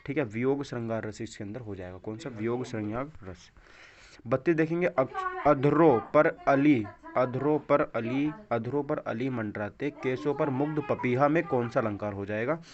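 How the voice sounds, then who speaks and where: Male coaching instructor for quiz walkthroughs, repetitive question-and-answer delivery, dull low-fidelity recording. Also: moderate pace, a crowd in the background. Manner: repeats answers, poses rhetorical check questions. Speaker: exam coaching teacher. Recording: dull, lo-fi microphone sound.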